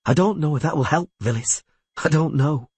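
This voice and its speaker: A man voicing a fierce, defiant female mercenary warrior in an audiobook story, with forceful, shouted delivery. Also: on the high side, moderate pace, husky, no echo, pitched above the performer's own voice. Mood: grimly resolute.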